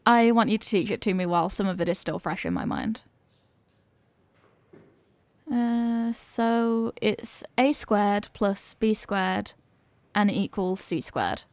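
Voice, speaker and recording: A woman's voice: medium pitch, a little slow, a little mellow, dry recording, natural voice. A woman playing an evasive, flustered popular cheerleader being tutored, in an acted ASMR roleplay with a hesitant, defensive delivery. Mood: studious, casual. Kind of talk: ASMR roleplay, acted one-sided conversation.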